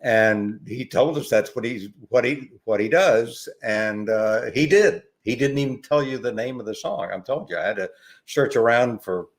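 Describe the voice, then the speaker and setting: An older man talking as a podcast guest, rambling and halting with many fillers and restarts. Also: mid-range voice. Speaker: podcast guest, ukulele educator. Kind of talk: relaxed conversational interview.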